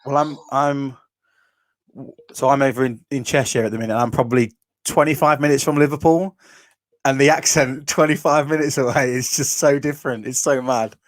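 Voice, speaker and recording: A man's voice: medium pitch, steady tone, moderate pace, no room sound. A man in his thirties, a podcast host, telling his story in casual chat, laughing easily. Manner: laughs easily, restarts, acts out quotes.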